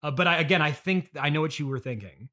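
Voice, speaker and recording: A man hosting an improvised comedy interview, speaking in a halting, awkward, hesitant way. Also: fairly high pitch, sharp tone. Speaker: podcast host.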